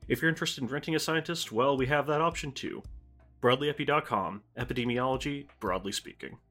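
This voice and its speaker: A man's voice: mid-range voice, fairly quick, a bit bright. A man reading a scripted podcast advertisement as a science educator. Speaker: science educator promoting own website. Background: music bed.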